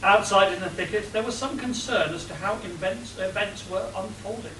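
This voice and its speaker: A man narrating an amateur radio play in a steady, plain storytelling manner. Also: high-pitched, moderate pace. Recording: roomy, distant, audible hiss.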